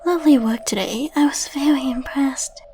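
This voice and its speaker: A woman's voice: sits high, normal speed, background noise. A woman playing a playful, flirtatious female secret agent in a scripted ASMR roleplay, coy and teasing as she addresses the listener. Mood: affectionate teasing. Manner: coy, teasing, chatty with tag questions. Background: ambient sound effects.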